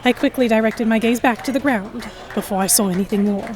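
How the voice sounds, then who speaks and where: A woman's voice: a little high, a little fast, noisy background. A woman reading scripted first-person narration for an audio drama.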